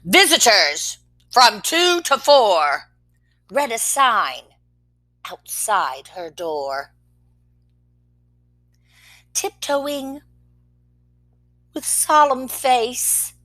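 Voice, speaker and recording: A woman reading a picture book aloud to children, expressive, with soft whispered asides between the verses. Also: a little high, drawn-out words, long pauses. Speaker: children's story reader.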